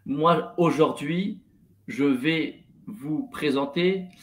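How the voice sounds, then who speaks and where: A man's voice: fairly high pitch, very slow. A young man from France, a guest on a video call, cheerful and hesitant, repeating his words. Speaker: guest presenter. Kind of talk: casual chat on video call. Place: home room. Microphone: headset.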